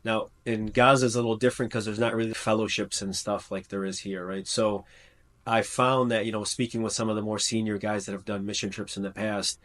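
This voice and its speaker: A man's voice: lowish voice, flat delivery, a little fast, fairly bright tone. A man, a trauma surgeon, talking earnestly in a casual interview, with many fillers and restarts. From United States.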